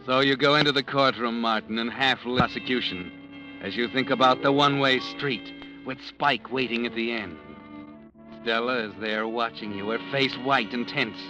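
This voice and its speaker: Male narrator for a vintage radio thriller, measured and ominous, over music. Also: mid-range voice, rough recording. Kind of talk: second-person scripted narration. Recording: music behind.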